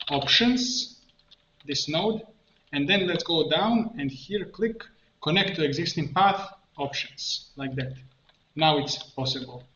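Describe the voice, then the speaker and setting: Man, software tutorial, slightly high pitch, halting think-aloud delivery, echoey dull recording, keyboard clicks. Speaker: tutorial presenter, software coach. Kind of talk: improvised screen-share software tutorial. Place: indoor room at a computer. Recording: dull, echoey room, clear background noise. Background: keyboard typing and mouse clicks.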